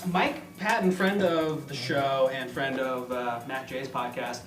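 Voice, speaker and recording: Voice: mid-range voice, fairly even intonation, unhurried, fairly bright tone. A live comedy show host chats casually with a group. The voice sits at some distance from the microphone in an echoey room, with music behind it.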